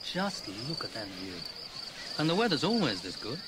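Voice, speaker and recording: A man's voice: a little high, big pitch swings, normal speed. A man with a British accent playing a jovial, rambling retired expatriate in his sixties, giving a chatty testimonial. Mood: cheerful. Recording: very noisy outdoor ambience with insects.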